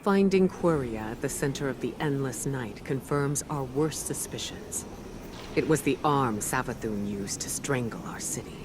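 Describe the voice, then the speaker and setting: A man playing a grave, thoughtful military leader, giving a measured, analytical debrief in a video game. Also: mid-range voice, animated, noisy background, natural voice. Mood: sober, concerned.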